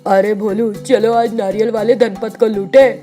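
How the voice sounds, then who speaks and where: A woman's voice: medium pitch, steady tone, measured pace, dark tone, soft background music, natural voice. A woman voicing a sly, pushy male swindler from a village, in a storybook tale. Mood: scheming. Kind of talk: storybook character voice.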